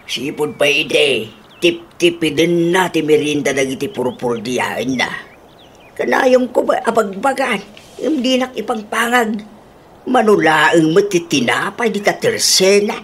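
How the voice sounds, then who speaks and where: A woman's voice: on the low side, lively intonation, a little slow, slight background noise. A woman voicing an apologetic, henpecked older man who grumbles resignedly in a radio comedy drama. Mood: resigned, complaining.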